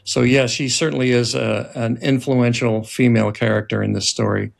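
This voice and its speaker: A man speaks as an interviewer in a conversational, slightly halting style. His voice sits at a middling pitch with a noticeable gravelly creak. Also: little pitch movement. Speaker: interviewer, host.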